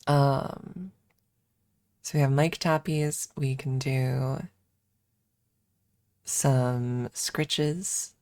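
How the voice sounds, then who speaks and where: A woman's voice: very deep voice, steady tone, drawn-out words, long pauses, darkish tone, no echo. A woman streamer chatting casually and informally in an ASMR livestream, thinking aloud close to the microphone. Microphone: close microphone adjusted for ASMR.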